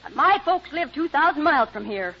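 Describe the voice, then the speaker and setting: High-pitched, tart, nosy neighbor lady. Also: very animated, a little fast. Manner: tart, sharp-tongued. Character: tart, nosy, outspoken married woman neighbor. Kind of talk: old-time radio situation comedy acting. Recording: muffled old radio recording.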